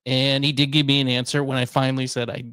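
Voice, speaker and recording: A man's voice: mid-range voice, level tone, quick pace, a bit bright, clean tone, no room sound, clean studio sound. A man hosting a radio show, talking in casual conversation. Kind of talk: casual chat, airing workplace grievances.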